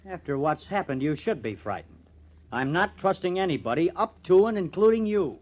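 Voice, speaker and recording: A man's voice: high-pitched, big pitch swings, normal speed. A tense newspaper artist with murder in him, in old-time radio style. His sardonic, menacing lines rise and fall dramatically. The vintage recording is dull and muffled. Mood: stern, tense.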